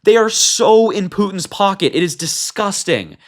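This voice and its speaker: A man's voice: high-pitched, lively intonation, moderate pace. A man hosting a political commentary show, reading out reports and reacting with emphatic, indignant disbelief. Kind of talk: reading a transcript aloud with opinionated commentary.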